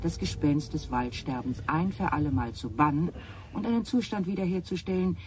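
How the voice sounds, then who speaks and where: A man's voice: a little high, normal speed, lightly acted. A man acting a formal newsreader on the radio within a radio play. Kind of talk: radio news reading. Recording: distant car radio, noisy background.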